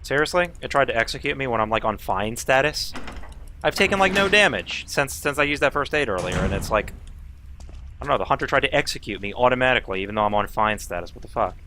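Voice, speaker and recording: A man's voice: medium pitch, lively intonation, quick pace, sharp tone, a little hiss or hum. A man casually commenting on his own speedrun and chatting with viewers, swearing now and then when frustrated. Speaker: speedrun streamer.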